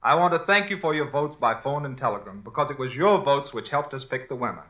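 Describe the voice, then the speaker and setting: A man's voice: medium pitch, lively intonation, quick pace, lightly acted. An American man playing a formal, enthusiastic contest host in a 1940s radio announcing style. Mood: proud, formal. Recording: muffled 1940 radio broadcast.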